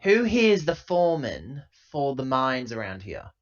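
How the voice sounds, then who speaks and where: A man's voice: medium pitch, big pitch swings, normal speed, muffled recording, lightly acted. A man voicing a tough, impatient company woman in a tabletop game, blunt and demanding. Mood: brisk, confident.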